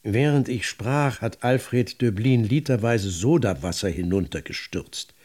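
An actor playing a literary chronicler, narrating a radio drama with measured, articulate and deliberate phrasing. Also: male voice, on the low side, lively intonation, darkish tone. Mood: wry, measured.

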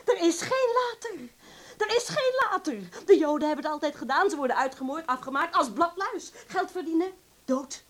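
A woman's voice: extremely high pitch, expressive, normal speed, fairly bright tone. An actress in a radio drama playing a bitter, overwrought woman in wartime hiding, sarcastic, raw and coarse-tongued. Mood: hysterical despair.

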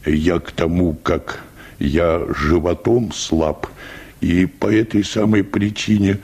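A meek, hard-drinking choir bass in a comic radio story. The voice is very deep and husky, dragging slowly. He rambles deferentially, padding everything with polite, hesitant phrases. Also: fairly even intonation, a little mellow, exaggerated voice. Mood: deferential, wistful. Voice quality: deep, hoarse, gravelly bass. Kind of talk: radio play acting of a comic short story.

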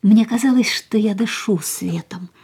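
An actress in a radio play playing an ailing, dreamy young woman who is hungry for life, speaking softly and breathily in lyrical phrases. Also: mid-range voice, a little slow. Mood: rapt, dreamy. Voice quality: soft, breathy. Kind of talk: literary radio drama acting, lyrical monologue and dialogue.

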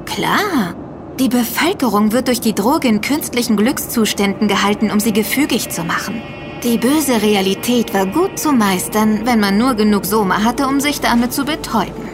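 A woman's voice: a little high, lively intonation, normal speed, music in the background, natural voice. An actress playing an informed, inquisitive woman who speaks in an explanatory way in a scripted audio drama.

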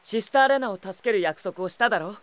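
A gentle young prince in an audio drama. His voice sits a little high and moves freely in pitch. He sounds idealistic, earnest and quietly determined. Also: expressive, quick pace, clean tone, no echo. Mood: calm insistence. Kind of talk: earnest anime-style audio drama acting.

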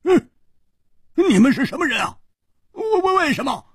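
Man acting a blustering, high-pitched swordsman hero. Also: sing-song, measured pace, husky, no echo, studio quality, heavily acted. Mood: startled. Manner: stammering, loud, indignant. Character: proud, blustering male swordsman hero, easily flustered.

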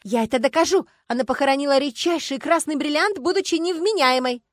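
Woman acting a shrill, hysterical rich heiress. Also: sing-song, moderate pace, no echo, clean studio sound. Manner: demanding, indignant, shrieking. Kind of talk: audiobook character voice.

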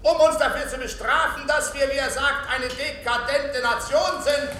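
A bombastic opposition leader in a radio play, with a high, piercing male voice. He delivers theatrical, rhetorical oratory with sly populist appeal. The sound rings with room echo over faint noise. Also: fairly even intonation, moderate pace, sharp tone. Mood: scornful, rhetorical. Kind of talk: political oration in a German radio play. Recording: echoey hall sound with slight noise.

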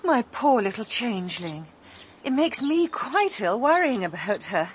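A woman's voice: on the high side, big pitch swings, measured pace, a little hiss or hum. An actress playing a cooing, flirtatious, fussing mother with a theatrical manner, in a radio drama. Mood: doting, fretful. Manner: theatrical, gushing endearments.

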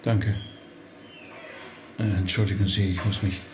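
A man's voice: deep voice, level tone, measured pace. A man playing a polite, hesitant middle-aged rabbi in a German radio play. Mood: shocked, hesitant. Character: courteous, busy rabbi, shaken by bad news. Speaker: voice actor in an audio drama.

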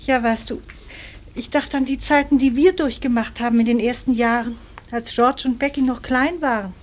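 A woman playing a practical, affectionate middle-aged housewife and mother, gently chiding, in a German radio play. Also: a little high, steady tone, normal speed, close to an everyday voice. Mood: wistful.

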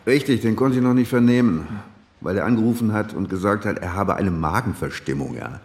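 A man playing a gruff, impatient, sceptical police chief in a radio crime drama, brusque and bossy. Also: lowish voice, animated, a little fast, darkish tone, faint music behind.

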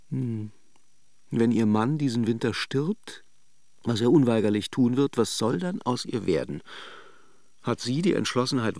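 Gravelly, theatrical, suave café idler. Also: male voice, medium pitch, darkish tone, dry recording, clean studio sound. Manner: theatrical, musing, ironically courteous. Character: suave, gossipy male holiday idler watching café guests. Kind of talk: radio drama monologue.

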